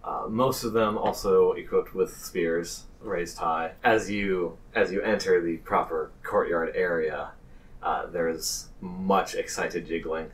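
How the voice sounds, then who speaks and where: A man narrating a tabletop game as game master, halting and pausing between phrases. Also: deep voice, steady tone. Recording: distant microphone.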